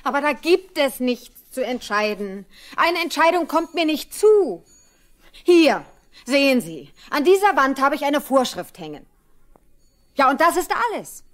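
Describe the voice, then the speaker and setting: An actress playing a dutiful, exasperated young trainee stationmaster in a radio play. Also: female voice, sits high, big pitch swings, unhurried. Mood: exasperated, firm. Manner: exasperated, rule-bound, protesting.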